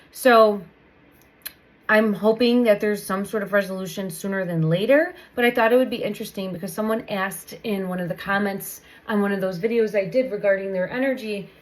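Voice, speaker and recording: A woman's voice: mid-range voice, low-quality recording. Tarot host, woman, a little stuffy from a cold, chatty, repeats herself. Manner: chatty, meandering, repeats phrases. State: recovering from a cold. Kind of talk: casual tarot reading monologue.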